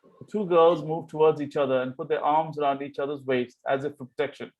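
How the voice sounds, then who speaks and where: A man's voice: fairly high pitch, fairly even intonation, brisk, highs cut off. A man reading out stage directions as scripted narration in a play table read.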